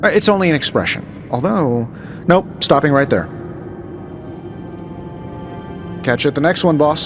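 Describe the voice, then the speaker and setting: An actor playing a wisecracking, easygoing wealthy superhero inventor in a video game, talking in a glib, wry, conversational way. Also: male voice, a little high, expressive, brisk, phone-quality sound, music in the background. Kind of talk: video game dialogue acting.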